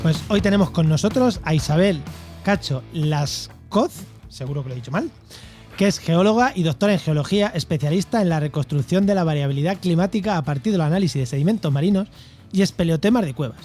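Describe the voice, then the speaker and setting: A man's voice: a little high, lively intonation, fairly quick, dark tone, soft background music. A man from La Mancha with an accent from Spain, co-hosting a podcast in a chatty, joking, informal way. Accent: Spanish from Spain. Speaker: podcast co-host and interviewer. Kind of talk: casual podcast chat.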